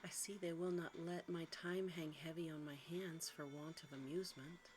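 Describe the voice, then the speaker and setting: A woman voicing a gentle young storybook heroine who is dutiful, brave and resolute. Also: sits low, steady tone, normal speed, natural voice. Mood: hopeful.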